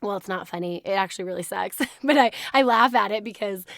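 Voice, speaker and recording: A hoarse woman hosting her podcast in a casual, chatty way, laughing often. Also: medium pitch, a little fast, a bit bright, no room sound, studio quality. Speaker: podcast host. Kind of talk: casual chat.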